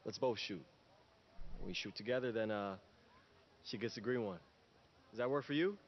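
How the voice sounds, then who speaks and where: An actor playing a reluctant, easily embarrassed team player in a screen drama, speaking in an understated, hesitant, dry way. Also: male voice, lowish voice, moderate pace, lo-fi sound, slight background noise, natural voice. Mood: guarded, flirting. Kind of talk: naturalistic screen acting.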